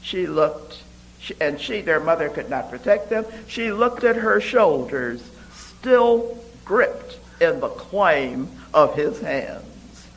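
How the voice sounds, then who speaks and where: Slow, expressive man reading poetry. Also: a little high, low-quality recording. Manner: deliberate, expressive, with emphatic pauses.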